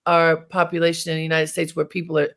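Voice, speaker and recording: A woman hosting an interview who speaks in a thoughtful, measured way and readily agrees with her guest. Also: sits low, completely flat delivery, a bit bright. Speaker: host. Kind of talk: conversational interview.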